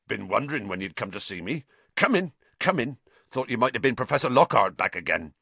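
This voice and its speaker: A man voicing a big, gruff, warm-hearted gamekeeper with a growling, blunt way of talking. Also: mid-range voice, very animated, brisk, dry recording, heavily acted. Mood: welcoming.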